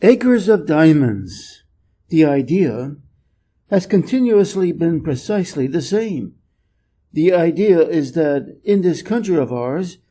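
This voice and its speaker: A man reading a scripted passage deliberately, as an audiobook narrator. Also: fairly high pitch, mellow.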